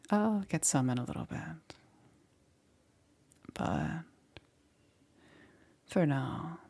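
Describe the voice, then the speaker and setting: A woman plays a soft-spoken witch in an ASMR roleplay, coaxing and soothing. Her low voice is very breathy and often drops to a whisper, with a creaky edge. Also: exaggerated voice. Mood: tender, soothing. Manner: slow, hushed, soothing and gently persuasive. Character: soft, coaxing witch, a caring self-confessed monster.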